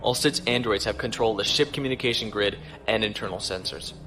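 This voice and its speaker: An actor playing a steady, courteous starship captain in a science fiction audio drama, crisp and authoritative. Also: male voice, on the low side, fast talker, close to an everyday voice. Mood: composed, wry. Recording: faint music behind.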